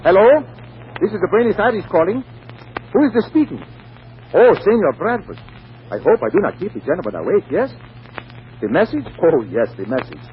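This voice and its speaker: An actor playing a South American telephone caller in a 1940s radio comedy, speaking stilted, over-polite English. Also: male voice, high voice, big pitch swings, normal speed, long pauses. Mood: courteous, urgent. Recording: muffled noisy 1940s radio recording.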